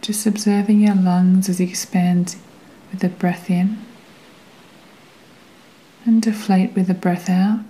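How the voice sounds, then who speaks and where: Slow, soft-voiced woman guiding meditation. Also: medium pitch, little pitch movement, low-quality recording. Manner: gentle, soothing, unhurried instruction with long pauses. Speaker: meditation guide. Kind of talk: guided meditation narration.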